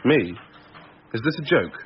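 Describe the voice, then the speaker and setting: A man's voice: medium pitch, very animated, a little slow, muffled recording. A man playing an eager, cheeky junior police officer in a radio drama. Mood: surprised, eager. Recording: band-limited, slightly noisy radio drama.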